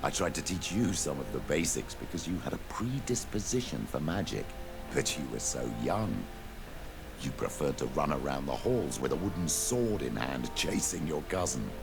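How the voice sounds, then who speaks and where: A man's voice: rumbling low, very animated, creaky, heavily acted. An actor playing a courtly older priest and diplomat in a video game, speaking in a formal, fatherly way. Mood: fond, reminiscing. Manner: formal, measured, paternal. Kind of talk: video game dialogue acting. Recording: game dialogue under music.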